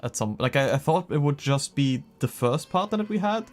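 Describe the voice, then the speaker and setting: A man's voice: fairly high pitch, expressive, brisk. Young man rambling casually over gameplay. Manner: joking, rambling. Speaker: game streamer.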